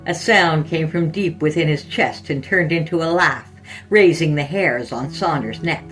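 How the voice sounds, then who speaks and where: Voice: medium pitch, measured pace, fairly bright tone, soft background music. A narrator and podcast host reading scripted fiction aloud in a storytelling style.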